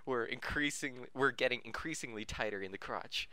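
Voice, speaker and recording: Bright-voiced man reading aloud, close and dry. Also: medium pitch, normal speed, no room sound. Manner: stumbles over words, self-correcting; amused, often breaking into laughter. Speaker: podcast reader. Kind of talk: reading fan fiction aloud.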